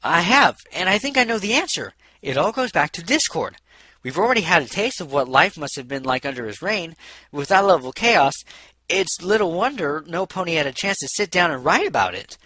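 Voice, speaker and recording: A man's voice: a little high, sing-song, fairly quick, sharp tone, no room sound, pitched above the performer's own voice, full character voice. A man voicing a nervous, bookish young female unicorn from a storybook, hesitant and earnest. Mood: earnest, curious.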